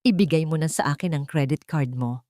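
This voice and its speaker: A domineering older mother-in-law, acted out by a woman narrator in an audio story. She is sharp and commanding, with greed under false concern. The voice sits fairly low in a dry recording. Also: a little fast, no room sound, lightly acted. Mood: bossy, falsely caring. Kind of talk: audio storybook character dialogue.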